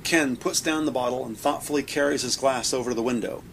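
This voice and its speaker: Gravelly male audio drama narrator, noisy background. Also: medium pitch, fast. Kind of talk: scripted present-tense scene narration. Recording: noisy, sound effects behind voice.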